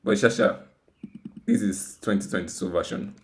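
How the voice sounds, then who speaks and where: Casting: man, low-pitched voice, informal tech tutorial host who thinks aloud and repeats himself. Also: fairly even intonation, moderate pace, slight background noise. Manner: rambling, self-deprecating, repeats words and phrases. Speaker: tutorial host, software developer. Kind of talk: casual, unscripted coding screencast walkthrough.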